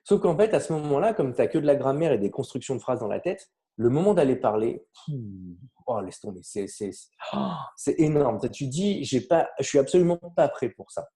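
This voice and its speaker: A man from France explaining his language learning method in a relaxed interview, animated and often trailing off mid-sentence. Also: mid-range voice, moderate pace. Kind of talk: casual conversational interview.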